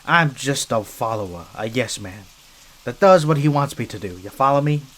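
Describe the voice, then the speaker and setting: A man's voice: mid-range voice, animated, moderate pace, a bit bright. Man voicing a scrappy streetwise mobster rat. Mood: cajoling, deferential. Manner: streetwise gangster slang, chatty, hesitant asides. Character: scrappy wisecracking mafia henchman rat, wounded and wary. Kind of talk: ASMR roleplay monologue.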